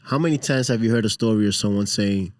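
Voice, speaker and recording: A man's voice: on the low side, fast, darkish tone, no echo. A man co-hosting a casual podcast chat in a studio, joking and affirming the guest. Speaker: podcast co-host.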